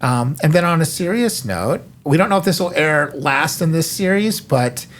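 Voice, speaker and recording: A man's voice: on the high side, expressive, moderate pace, fairly bright tone, a little hiss or hum. A man hosting a podcast, summarizing points in an easy, affirming way with light humor. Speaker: podcast host. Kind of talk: casual podcast conversation. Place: studio room.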